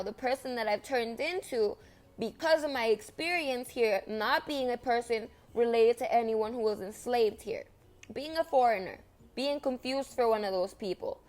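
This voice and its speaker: A young woman with a slightly high voice speaks in a long, impassioned monologue. Spanish was her first language, and she talks earnestly, repeating herself and pressing each point home with emphasis.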